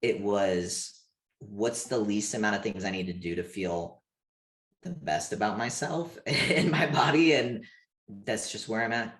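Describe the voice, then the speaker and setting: A candid man around thirty with a fairly low voice, recorded in an echoey room. He talks informally in a personal interview style, peppering his sentences with "like". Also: measured pace, a bit bright. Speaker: interview guest, activist. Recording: roomy, echoey sound.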